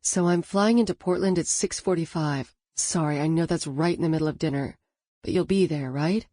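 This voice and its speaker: A woman narrator voicing a grieving young woman artist in her late twenties, speaking quietly with a strained, practical manner. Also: sits low, normal speed, no echo, studio quality. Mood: subdued.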